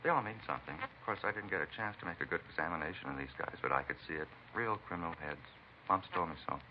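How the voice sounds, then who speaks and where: A man's voice: low-pitched, animated, quick pace. An actor playing a beaten, talkative American truck driver in a vintage radio drama, rambling and folksy in plain working-man talk. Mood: confiding, opinionated. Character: beaten truck driver, talkative, folksy, eccentric self-styled bump-reader. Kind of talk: 1940s-50s radio acting. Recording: muffled vintage radio recording, faint hiss.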